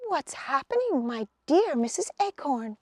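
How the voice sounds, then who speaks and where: A woman's voice: high-pitched, sing-song, unhurried, no echo, studio quality, voice pushed up. Woman as a polite, neighbourly hazelnut mother. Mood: friendly.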